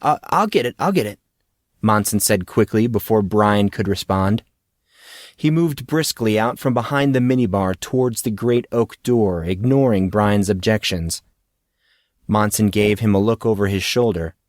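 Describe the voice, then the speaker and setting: A man's voice: on the low side, measured pace, darkish tone, no echo, clean studio sound. A man narrating a story as an audiobook, reading scripted prose.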